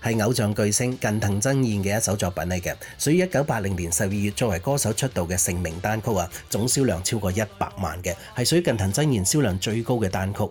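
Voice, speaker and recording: A man's voice: lowish voice, expressive, quick pace, hardly any pauses, a little mellow. A man presenting a music programme with chatty, explanatory commentary in a conversational style. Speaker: music radio or podcast host.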